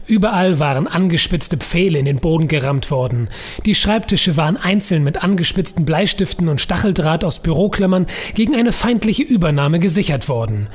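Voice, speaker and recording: A man's voice: a little high, husky. A man reading scripted narration for an audio drama.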